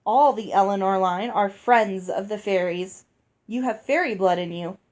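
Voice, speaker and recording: A woman reading aloud and voicing a gruff older fairy woman who talks bluntly and scolds. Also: medium pitch, moderate pace, a little mellow, lightly acted. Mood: brusque. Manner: brusque, scolding. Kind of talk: read-aloud storybook dialogue.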